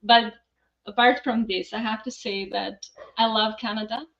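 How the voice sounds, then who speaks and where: A woman's voice: a little high, fairly even intonation, moderate pace, gravelly, fairly bright tone, highs cut off. A middle-aged woman from Albania with an Albanian accent, talking casually as an interview guest. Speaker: podcast guest, accountant.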